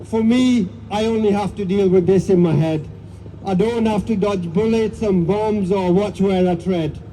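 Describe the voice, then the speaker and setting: A man speaking at an outdoor protest rally. He reads a poem aloud in an earnest, measured way, with a few ums before he starts. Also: high voice, mellow, a little hiss or hum, low-quality recording. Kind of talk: poetry recitation at a rally. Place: outdoors in cold weather at a street rally.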